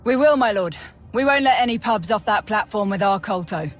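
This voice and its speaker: A woman's voice: mid-range voice, expressive, a little fast, lightly acted. A woman playing a capable, plain-spoken army major in a video game, matter-of-fact and a little sardonic. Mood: brisk. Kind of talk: video game cutscene acting. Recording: music behind the voice.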